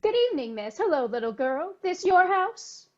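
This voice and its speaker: A woman playing a persistent, smooth-talking newspaper reporter in an old-time radio play. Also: high-pitched, sing-song, normal speed, a little mellow, lo-fi sound. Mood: slick. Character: pushy, persistent newspaper reporter badgering a household.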